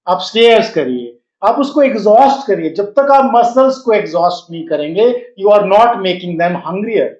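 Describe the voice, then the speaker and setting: A man with a fairly high voice gives health coaching in Hindi, slipping into English phrases and asking rhetorical questions along the way. The sound is roomy and slightly muffled, like a lo-fi recording in an echoey room. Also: darkish tone. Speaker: fitness and wellness coach. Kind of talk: health advice talk to camera. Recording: roomy echo, dull lo-fi sound.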